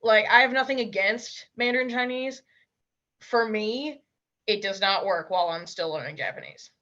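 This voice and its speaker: A young woman with a bright, forceful voice chats casually as an interview guest. She is blunt and emphatic, tossing in quick asides. The sound is dull and lo-fi, like a remote call. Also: medium pitch. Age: young adult. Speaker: interview guest, language learner.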